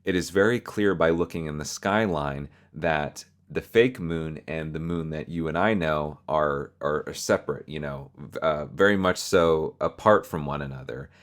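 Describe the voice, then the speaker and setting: A man's voice: extremely low pitch, normal speed, hardly any pauses. A man hosting a video, narrating and explaining a fictional horror story.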